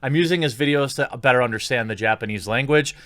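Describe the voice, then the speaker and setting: A man's voice: medium pitch, quick pace, fairly bright tone, dry recording. A man giving casual, opinionated online commentary in a critical, sarcastic manner, recorded on a close mic. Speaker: YouTube commentator.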